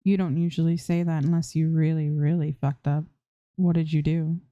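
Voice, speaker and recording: Blunt, protective young woman, done by a female reader, low and mellow, matter-of-fact, audiobook style. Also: level tone, normal speed, dry recording, lo-fi sound, lightly acted. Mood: dry, surprised. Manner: matter-of-fact, mildly scolding.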